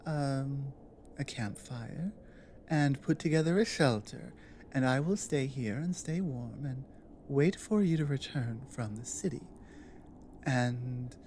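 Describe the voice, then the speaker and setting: Female orc warrior acted by a woman, low voice, slow deliberate pauses, earnest, protective, intimate audio drama, faint background ambience. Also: lively intonation, dark tone, slight background noise, exaggerated voice. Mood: calm, resolved.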